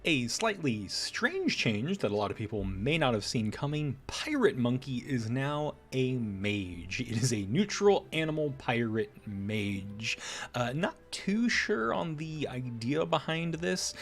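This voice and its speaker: A man's voice: mid-range voice, sing-song, measured pace, faint music behind. Casual man presenting game updates. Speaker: presenter of game patch notes.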